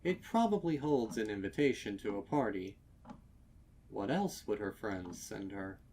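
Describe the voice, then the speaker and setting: A man reading a scripted horror story as narrator, with deliberate, dramatic delivery. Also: fairly low pitch, expressive, darkish tone, slight background noise. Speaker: narrator of fan fiction readings.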